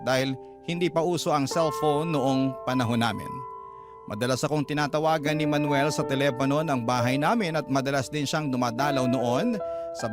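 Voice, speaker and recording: A man narrating a sentimental scripted first-person story as a radio drama reader. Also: medium pitch. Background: loud background music.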